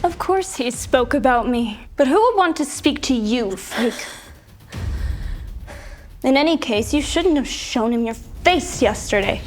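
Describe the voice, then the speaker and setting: A woman's voice: high voice, expressive, unhurried, faint music behind. A woman playing a spiteful, haughty young stepsister in an audio drama, with a sneering, scornful delivery. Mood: scornful, accusing.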